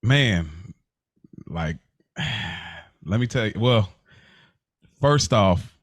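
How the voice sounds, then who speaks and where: Earnest low-voiced American man co-hosting. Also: expressive, very slow, no echo. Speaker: podcast co-host. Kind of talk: casual chat.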